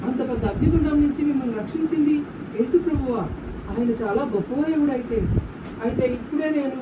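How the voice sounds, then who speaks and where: Woman doing a bossy puppet show king, highish voice, echoey room with loud noise. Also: fast talker, natural voice. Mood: proclaiming. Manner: declamatory, imperious. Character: proud, commanding male king in a Bible puppet show. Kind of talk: amateur puppet show acting. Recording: roomy, distant mic, loud background noise.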